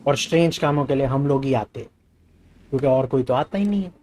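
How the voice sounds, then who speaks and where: A man's voice: mid-range voice, very fast, darkish tone. A man with an Indian accent, a native Hindi speaker, doing live gameplay commentary and reading dialogue aloud. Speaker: game streamer.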